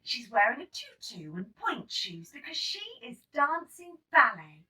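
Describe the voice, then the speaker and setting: A woman's voice: high-pitched, sing-song, unhurried, noisy background, pitched above the performer's own voice. A woman voicing an encouraging storybook teacher, explaining things in an instructive, emphatic way. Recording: slightly distant microphone.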